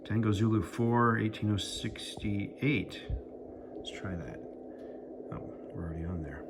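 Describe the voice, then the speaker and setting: A man's voice: fairly low pitch, a little slow, heavy background noise. An American man giving a casual running commentary as he operates a radio, thinking aloud and muttering to himself. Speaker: amateur radio operator and vlogger.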